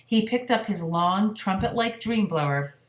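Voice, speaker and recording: A woman reading a children's story aloud, pausing for casual explanatory asides to young listeners. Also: mid-range voice, animated. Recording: echoey room.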